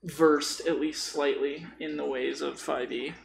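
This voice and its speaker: A man's voice: fairly high pitch, steady tone, measured pace, creaky, a little hiss or hum, poor audio. A man chatting casually about tabletop gaming in a joking, informal way with some mild swearing. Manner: joking, informal, mildly profane. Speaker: podcast host.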